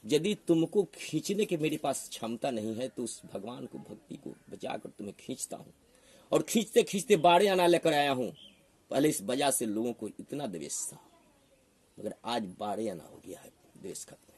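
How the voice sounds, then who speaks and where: A man's voice: mid-range voice, expressive, normal speed, darkish tone, breathy edge. A man with a Bihari accent arguing like a preacher, assertive and admonishing, often putting rhetorical questions. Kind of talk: heated argument, preaching.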